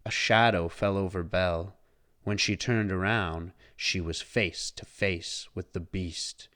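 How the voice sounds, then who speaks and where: A man reading a children's storybook aloud as scripted, expressive narration. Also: sits low, takes it slowly.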